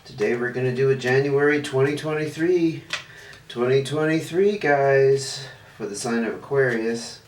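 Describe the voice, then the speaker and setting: A man's voice: medium pitch, moderate pace, a little mellow. Stuffy-nosed man reading tarot, roomy sound. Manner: chatty, thinks aloud while laying out cards. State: stuffy, sniffling. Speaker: tarot reader, channel host. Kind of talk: casual tarot reading to camera. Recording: roomy, distant mic, faint noise.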